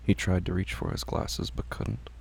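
A man narrating a scripted horror audio drama in terse, grave, deliberate phrases with long pauses. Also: sits low, fairly even intonation, creaky, darkish tone, breathy edge.

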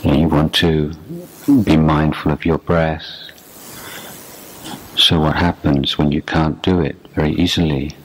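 A man guiding meditation as a teacher, speaking in a measured, deliberate way with long pauses between short phrases. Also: extremely low pitch, level tone, husky, low-quality recording. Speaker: meditation teacher, Buddhist monk. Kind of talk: guided meditation instruction, unscripted dharma talk.

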